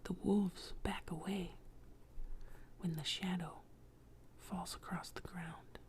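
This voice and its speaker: A woman telling an ASMR bedtime story in a hushed, breathy whisper, close to the microphone. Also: fairly low pitch, fairly even intonation, takes it slowly.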